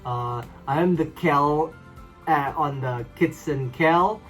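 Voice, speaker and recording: A man with an Indonesian accent, talking in a relaxed, hesitant way as co-host of a couples vlog. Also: a little high, slow pace, soft background music. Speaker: vlogger co-host. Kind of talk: casual couple chat.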